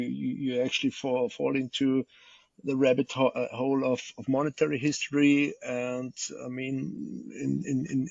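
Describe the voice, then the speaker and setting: Gravelly Austrian-accented man, halting speech. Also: medium pitch, dry recording, muffled recording. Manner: halting, many repeated words and fillers. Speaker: podcast guest, fund manager. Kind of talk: unscripted interview answer.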